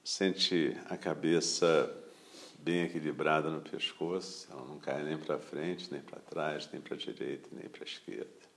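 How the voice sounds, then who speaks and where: A man with a Brazilian Portuguese accent leading a guided meditation as a teacher, calm and unhurried, speaking in short phrases with pauses. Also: very deep voice, creaky.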